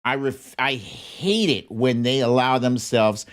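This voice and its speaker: Casting: American political show host, medium pitch, slow deliberate pace, blunt and indignant, speaking off the cuff with heavy emphasis. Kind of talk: opinionated political commentary, unscripted.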